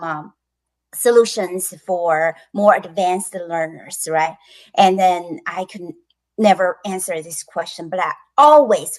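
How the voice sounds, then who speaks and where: Slow, low-pitched woman with a Chinese accent. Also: poor audio. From China. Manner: slow and deliberate, many ums and pauses. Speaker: guest, children's book creator. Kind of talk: interview conversation.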